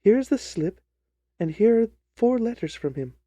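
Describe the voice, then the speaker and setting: A man's voice: high-pitched, sing-song, normal speed, warm tone, no room sound. A man voicing an earnest, trusting, distressed young jilted bride in a dramatised story reading. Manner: earnest, wordy, pleading.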